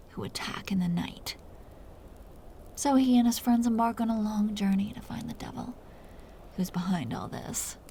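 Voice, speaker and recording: Dominant, predatory female vampire played by a woman for intimate audio drama: amused, softly condescending, unhurried, whispery with a creaky edge. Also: mid-range voice, a little hiss or hum. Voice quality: breathy, whispery. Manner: slow, teasing, softly condescending.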